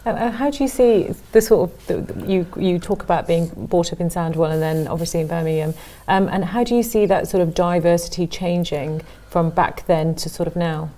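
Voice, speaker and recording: A woman's voice: fairly low pitch, fairly quick, few pauses, mellow. A woman hosting an interview show, welcoming her guest and asking questions. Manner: welcoming, brief acknowledgements. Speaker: show host.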